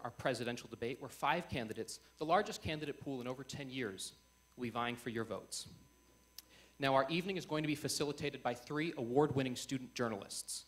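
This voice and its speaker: A young man speaking as host of a student debate, in a measured, emphatic public-speaking delivery before an audience. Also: medium pitch. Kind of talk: formal speech introducing a debate. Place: on stage before a live audience. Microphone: event microphone.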